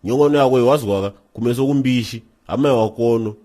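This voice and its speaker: A man with a Zimbabwean accent voicing an indignant, blustering cartoon father with a husky, scolding delivery. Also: medium pitch, warm tone, natural voice. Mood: angry scolding. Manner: scolding, blustery rapid slang. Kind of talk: animated comedy acting.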